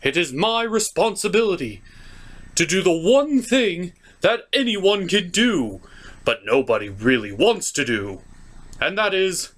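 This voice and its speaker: A man hosting a comic movie review, narrating theatrically with dramatic pauses and emphasis. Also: high voice, big pitch swings, fairly bright tone.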